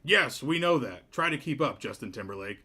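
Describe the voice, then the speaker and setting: A man's voice: medium pitch, lively intonation, brisk, pushed and bright, husky. A man at a comedy table read voicing a pompous, condescending human mayor who mocks whoever is in front of him. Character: pompous, mocking human mayor with incongruous high voice.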